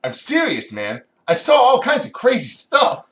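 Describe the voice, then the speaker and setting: A man's voice: very high-pitched, huge pitch swings, a little slow, fairly bright tone, voice pushed up, heavily acted. A man voicing a cocky but awkward young hedgehog hero, friendly and casual, in an amateur fan fiction reading. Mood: friendly, boastful.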